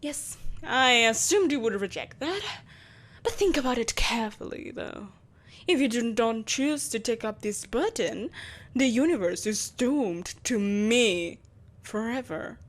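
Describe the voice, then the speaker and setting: A woman's voice: a little high, animated, measured pace. A woman playing an imperious, seductive evil empress in a scripted roleplay audio drama, speaking in a haughty, theatrical, coaxing manner. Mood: menacing, grandiose. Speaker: voice actor.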